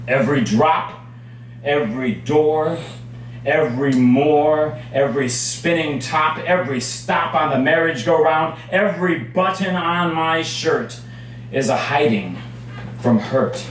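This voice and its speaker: A man performing his own poetry at a live reading, with measured, dramatic phrasing and deliberate pauses. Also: a little high. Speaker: poet reading own work. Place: indoors at a cafe poetry reading. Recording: very echoey room, distant mic, faint noise.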